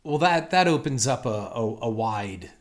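A man's voice: medium pitch, animated, measured pace. A middle-aged man co-hosting a casual money podcast, easygoing and mildly skeptical in banter. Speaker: podcast co-host.

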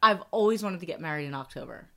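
A woman's voice: fairly low pitch, expressive, fast talker, gravelly, bright, forceful tone. A middle-aged woman co-hosting a casual podcast, chatty and bubbly, laughing often. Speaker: podcast co-host. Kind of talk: casual chat.

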